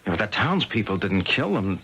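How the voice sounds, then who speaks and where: An actor playing a blunt, commanding American army sergeant with an American accent in a 1970s radio drama. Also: male voice, fairly low pitch, big pitch swings, fairly quick, a bit bright, highs cut off. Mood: grim, decisive. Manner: blunt, challenging. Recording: noisy old radio broadcast recording.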